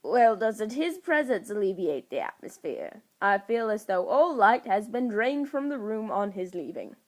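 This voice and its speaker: A woman's voice: mid-range voice, big pitch swings, measured pace. A woman voicing a haughty, sarcastic trickster god trapped in a small boy's body, speaking formally and indignantly in a storybook reading. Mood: sarcastic drawl.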